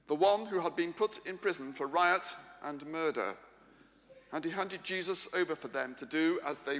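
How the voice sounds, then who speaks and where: A man's voice: a little high, bright, forceful tone. A man giving a scripted reading of scripture as narration in a Passion dramatisation. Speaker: Passion reader. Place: large church hall, live reading. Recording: echoey large church hall.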